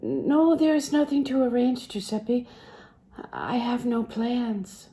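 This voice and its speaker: Woman reading as a young woman, downcast, wistful, resigned, mellow tone, storybook dialogue. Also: on the high side, animated, takes it slowly, voice pushed up. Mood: wistful resignation.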